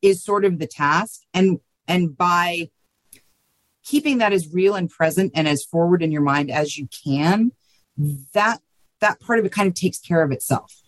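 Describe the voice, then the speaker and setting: A woman's voice: fairly low pitch, a little slow, no echo. A woman answering interview questions as a guest writer, thoughtful and informal, often checking in with the listener. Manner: thoughtful, informal, peppered with you know and right.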